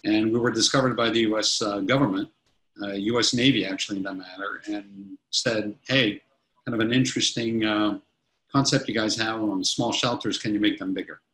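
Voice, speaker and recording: Man in his 50s, CEO guest, a touch low-pitched, talks in loose, restarting sentences. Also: steady tone, normal speed, a bit bright, low-quality recording. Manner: rambling, many restarts and fillers. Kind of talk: casual interview answers.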